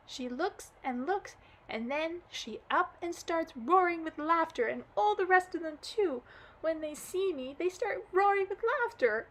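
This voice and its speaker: A heartbroken little girl from a storybook wails and sobs through her story in a high, bright voice. A woman performs her while reading aloud. The delivery swoops up dramatically with each new grievance. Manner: high, sobbing, wailing delivery.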